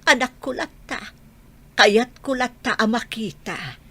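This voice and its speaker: A woman's voice: fairly high pitch, lively intonation, slow pace, bright, forceful tone, husky, heavily acted. A woman playing a tender, plaintive old grandmother who longs for her son in an audio drama. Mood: sorrowful, insistent. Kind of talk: Ilocano audio drama acting.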